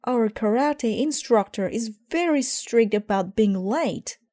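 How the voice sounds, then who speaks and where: A woman voicing a young, anxious and excitable boy bear cub in a storybook, exclaiming urgently. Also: a little high, slow, no echo, clean studio sound, pitched above the performer's own voice.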